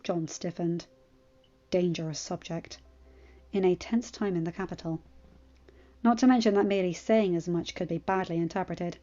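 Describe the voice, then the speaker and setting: A woman's voice: fairly low pitch, moderate pace, pauses often, dark tone. Woman narrating, slightly muffled sound. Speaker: audiobook reader. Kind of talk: scripted fan fiction narration.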